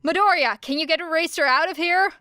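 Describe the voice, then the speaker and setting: A woman's voice: very high voice, a little fast, no room sound, studio quality, pitched above the performer's own voice. Woman playing a brash, cursing teen girl fighter. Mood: taunting. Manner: sharp, curt and taunting. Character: brash, foul-mouthed teenage girl fighter.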